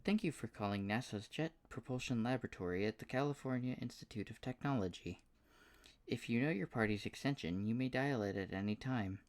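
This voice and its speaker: A man's voice: medium pitch, brisk, voice pushed up, lightly acted. A man voicing an automated phone system reading a formal greeting. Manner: formal, announcing.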